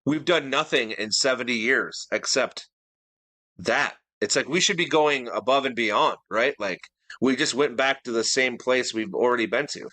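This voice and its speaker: A man's voice: mid-range voice, normal speed, bright, forceful tone, no room sound, low-quality recording. A man co-hosting a podcast in casual chat, easygoing and rambling, often posing questions. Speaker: podcast co-host.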